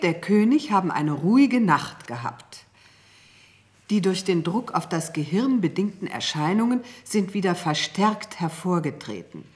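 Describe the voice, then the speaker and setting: An actress playing a cool, mocking older mistress of a genteel household in a radio play, speaking in a dry, prim, formal manner. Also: female voice, mid-range voice, a little mellow. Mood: formal, reading aloud. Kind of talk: radio drama acting.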